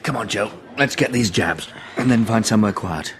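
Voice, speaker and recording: An actor playing an earnest, reserved romantic lead in a radio drama. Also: male voice, fairly low pitch, moderate pace, a bit bright, close to an everyday voice. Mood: calm, wry.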